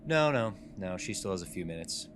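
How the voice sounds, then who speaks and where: Man as money-driven male boss, deep-ish voice, self-satisfied, businesslike, radio play, noisy backdrop. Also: animated, brisk, fairly bright tone. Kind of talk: radio drama acting. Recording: clearly noisy room background.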